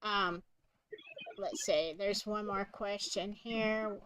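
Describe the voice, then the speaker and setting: A woman's voice: mid-range voice, steady tone, takes it slowly, a bit bright, slight background noise, rough recording. A woman hosting a live stream, agreeing with her guest and reading out viewer questions. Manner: agreeable, reads out viewer comments.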